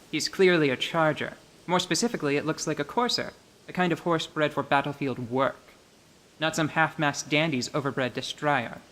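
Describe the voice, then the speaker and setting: A man narrating a fantasy radio play in a measured, dramatic storytelling style with musing asides. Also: a little high, slight background noise. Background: soft music.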